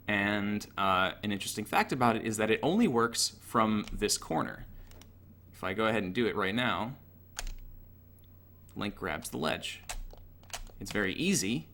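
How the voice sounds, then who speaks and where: A man's voice: fairly low pitch, a bit bright, a little hiss or hum. A man giving an informal, technical tutorial over gameplay in a matter-of-fact, analytical way. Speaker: gaming tutorial narrator.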